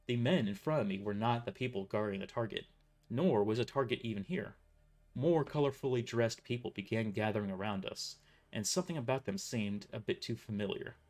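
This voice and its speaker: A man's voice: mid-range voice, a little mellow. A man reading a first-person story as a dramatic narrator, occasionally stumbling over his words. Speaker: author narrating own novel.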